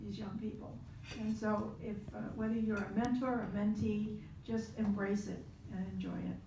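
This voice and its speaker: A woman's voice: mid-range voice, little pitch movement, normal speed, gravelly, background noise. A woman in her seventies speaking reflectively as a panelist, with some restarts. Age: 70s. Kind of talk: panel discussion. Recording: roomy hall audio from far off.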